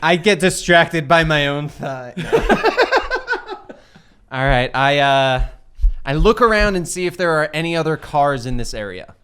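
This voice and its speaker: A man's voice: a little high, big pitch swings, unhurried, a bit bright, clean tone. A man playing a tabletop game, bantering casually with joking, mock-earnest asides.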